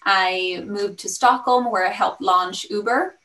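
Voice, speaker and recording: A woman's voice: mid-range voice, unhurried, pushed and bright. Upbeat Swedish-accented woman on a video call. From Sweden. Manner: upbeat, fluent, with frequent ums. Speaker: startup founder pitching. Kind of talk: business pitch on a video call. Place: home room, indoors. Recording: roomy and slightly distant.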